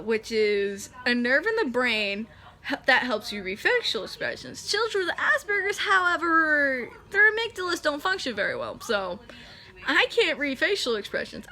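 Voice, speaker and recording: Young woman vlogger, nineteen, high bright voice, chatty and self-correcting. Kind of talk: casual talking-head explainer.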